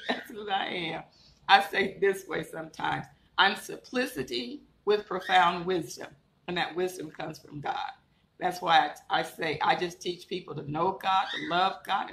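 A woman minister preaches to her online congregation in a low, gravelly voice. She keeps coming back to the same encouraging points and builds them up into emphatic declarations. Her voice rings around a room full of echo, with a faint hiss underneath. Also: a bit bright. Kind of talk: live-streamed sermon. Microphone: live stream setup. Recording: echoey room with faint background noise.

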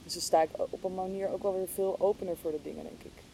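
Hesitant woman, mellow voice. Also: fairly even intonation, fast talker. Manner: hesitant, thinking aloud. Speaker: interview guest, podcast hostess. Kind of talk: casual, reflective conversation. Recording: clear low rumbling background noise.

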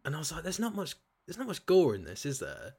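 A man's voice: on the high side, huge pitch swings, fast, gravelly, a little mellow. A man chatting casually about films, jokey and sweary, telling it with quoted asides. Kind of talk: casual film chat.

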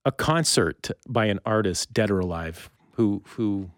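Male podcast host, North American accent, lower register, conversational, dry close sound. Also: expressive, unhurried, no echo. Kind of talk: casual conversational interview.

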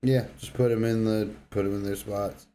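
A man chats casually as a podcast co-host. His voice sits a little low and has a dark, mellow tone. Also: steady tone, moderate pace. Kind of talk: casual chat.

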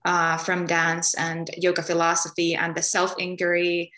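Bright-toned woman from Finland. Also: on the low side, monotone, moderate pace. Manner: soft-spoken, searching for words with many ums and restarts. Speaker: guest, energy healer. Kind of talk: relaxed spoken interview.

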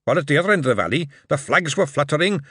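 A blunt old dwarf cobbler, as a man puts him on, speaks in a gruff, storytelling way. His voice swings up and down with excitement. The sound is close and dry. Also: fairly high pitch, racing, dry recording, pitched above the performer's own voice, heavily acted. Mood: gruff reminiscing. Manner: gruff, telling a war story with rising excitement.

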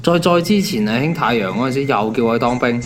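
A man's voice: medium pitch, expressive, fast talker, natural voice. An actor with a Hong Kong accent playing an easygoing man in his twenties, chatty and given to sighing, in a naturalistic audio drama. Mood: grumbling, resigned. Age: 20s. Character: easygoing young man, sighing over his demanding girlfriend.

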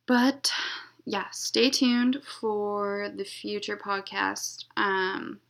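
A young woman hosting her own podcast, talking in a flat, monotone, rambling way and being blunt. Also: mid-range voice, extremely slow, gravelly. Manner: blunt, unfiltered. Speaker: podcast host. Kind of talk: casual solo podcast intro.

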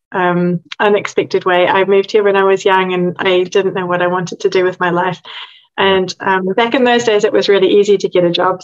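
A woman's voice: medium pitch, muffled recording. A woman, a lawyer chatting casually as a podcast guest, with lots of likes and ums. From New Zealand. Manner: chatty, frequent likes and ums, laughs easily. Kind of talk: casual interview chat.

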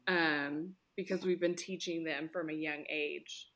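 A woman speech therapist talking in an earnest, expressive way, often acting out examples as quotes. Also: sits low, a little slow. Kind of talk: conversational interview.

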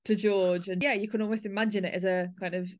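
Female, average pitch. Conversational podcast host. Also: steady tone, quick pace.

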